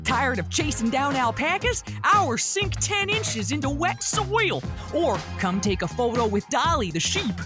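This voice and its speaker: A woman's voice: high voice, big pitch swings, unhurried. An actress playing a brash, bawdy plus-size woman in a cheeky radio commercial, delivered with hammy, suggestive salesmanship. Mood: bawdy, upbeat. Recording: music bed behind the voice.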